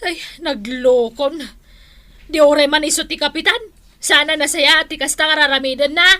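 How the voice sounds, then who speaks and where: A woman's voice: sits high, moderate pace, sharp tone. A woman playing an exasperated village councilwoman in an Ilocano comedy drama, with a Filipino Ilocano accent. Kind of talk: acted village comedy dialogue.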